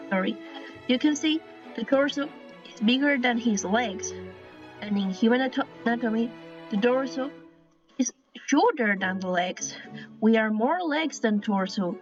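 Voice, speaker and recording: Woman, Spanish accent, native Spanish speaker, slightly high voice, hesitant with restarts, dull lo-fi recording, faint music underneath. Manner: hesitant, many restarts and apologies. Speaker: artist explaining a drawing style. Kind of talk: casual drawing tutorial.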